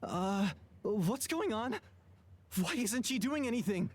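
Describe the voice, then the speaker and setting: A man voicing an excitable, blustering little creature in a video game, prone to panic. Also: high-pitched, slow pace, a little hiss or hum. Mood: alarmed.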